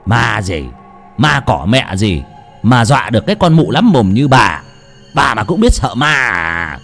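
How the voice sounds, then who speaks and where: A man voicing a gruff, scoffing older village husband with a blunt, grumbling manner in a ghost story. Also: medium pitch, sing-song, unhurried, a bit bright, faint music behind, heavily acted.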